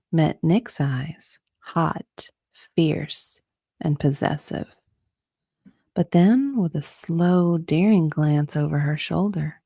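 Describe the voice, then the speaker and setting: Slow, low, creaky woman narrator. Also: mellow, no room sound, clean studio sound. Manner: breathy, often dropping to a whisper. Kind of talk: dramatic romance reading.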